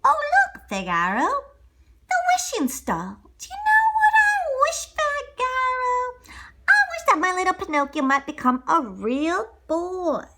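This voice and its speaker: A woman's voice: extremely high pitch, wildly swooping pitch, a little slow, bright, forceful tone, voice pushed up, exaggerated voice. A woman voicing a kindly old male toymaker in a storybook, doting and wishful, with a tender, exclaiming manner. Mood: excited, hopeful.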